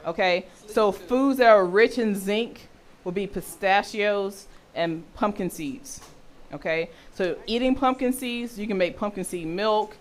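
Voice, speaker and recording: A woman with a deep voice for a woman, teaching a health session and often checking in with her listeners. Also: measured pace. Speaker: health and intimacy educator.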